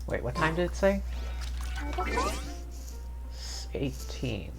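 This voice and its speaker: A woman with a very low voice reacts casually while streaming a game. She talks slowly in short dry asides, over game music. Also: lively intonation, vocal fry. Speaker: game livestreamer.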